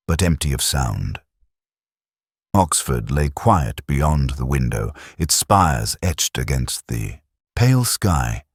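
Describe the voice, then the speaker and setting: A man narrating a scripted first-person detective story in a measured, reflective, literary manner. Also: a bass voice, no room sound, clean studio sound.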